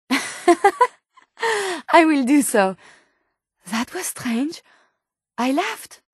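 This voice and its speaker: An earnest, curious robot scientist from a video game, with a distinct French accent. Her voice is high and very dry, close and without room sound. She phrases everything formally and courteously, choosing precise words. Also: very animated, drawn-out words, dry recording, full character voice. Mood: bewildered. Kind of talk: video game character dialogue.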